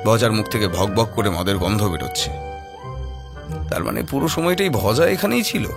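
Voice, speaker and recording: A man's voice: on the low side, lively intonation, moderate pace, creaky, music in the background. A man narrating a horror audio story in a dramatic, scripted style.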